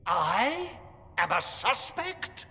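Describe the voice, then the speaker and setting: A man's voice: high-pitched, big pitch swings, very slow, pushed and bright, heavily acted. An actor playing a haughty, hostile church chancellor in a video game, imperious and indignant in his accusations. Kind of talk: dramatic video game acting. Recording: long hall reverb.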